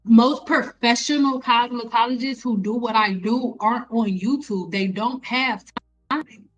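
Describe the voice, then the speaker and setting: A woman's voice: fairly high pitch, a little slow, highs cut off, soft background music. A woman in her thirties lecturing viewers on a live stream as an educator, emphatic and repeating phrases to drive them home. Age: 30s. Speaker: host, hair care educator.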